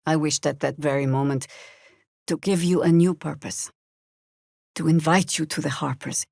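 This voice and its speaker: An actress playing a seasoned, middle-aged druid woman in a video game, a wry and stern resistance fighter who speaks with measured, commanding dryness. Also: sits low, fairly even intonation, vocal fry, darkish tone, dry recording, clean studio sound. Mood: earnest, resolute. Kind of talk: video game character dialogue, dramatic acting.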